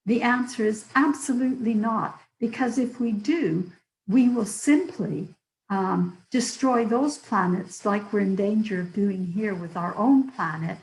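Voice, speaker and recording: An older woman with a hoarse voice, giving an earnest public lecture with stories and the odd filler. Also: mid-range voice, unhurried, darkish tone, roomy sound, low-quality recording. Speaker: guest lecturer.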